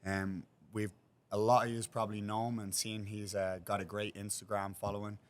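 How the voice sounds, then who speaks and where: A man's voice: fairly low pitch, flat delivery, moderate pace. A man hosting a podcast, introducing his guest and chiming in with short encouraging remarks. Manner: brief, encouraging interjections. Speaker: podcast host. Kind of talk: casual podcast chat.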